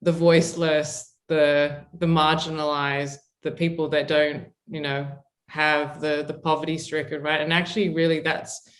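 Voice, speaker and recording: A woman's voice: sits low, flat delivery, unhurried, hardly any pauses. A woman from Zambia hosting an interview, speaking in an encouraging, conversational way. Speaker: host.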